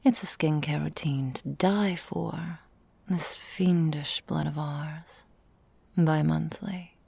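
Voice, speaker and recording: A woman playing an ancient, elegant vampire mistress in an ASMR roleplay, speaking formally and deliberately in a breathy, gravelly, whispery voice. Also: sits low, steady tone, mellow, dry recording, full character voice. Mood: fond, wry. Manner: slow, formal, theatrical, deliberate.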